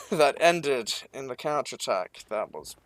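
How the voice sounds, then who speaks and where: Man casting esports, very animated intonation. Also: medium pitch, a little slow, a little hiss or hum. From Norway. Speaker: esports match caster. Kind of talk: casual live commentary and banter.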